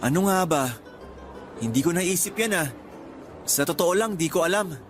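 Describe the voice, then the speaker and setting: An actor playing an excited young Filipino traveller in a radio drama, speaking in an earnest, conversational Filipino accent. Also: male voice, high-pitched, moderate pace, faint music behind, lightly acted. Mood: wistful, unsure. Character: excited young Filipino man on first trip abroad.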